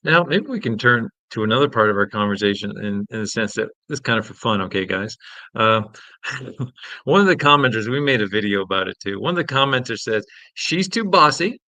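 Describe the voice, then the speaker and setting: A man co-hosting a casual livestream, chatting with viewers in a joking, animated way and often chuckling. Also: medium pitch, brisk, dry recording. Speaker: co-host of a livestream podcast.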